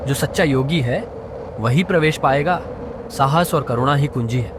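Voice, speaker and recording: A man's voice: mid-range voice, normal speed, natural voice. A man voicing a solemn mystical spirit in a children's story, making grave, instructive pronouncements. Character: solemn mystical voice of cave, mirror and lotus. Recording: clearly noisy background.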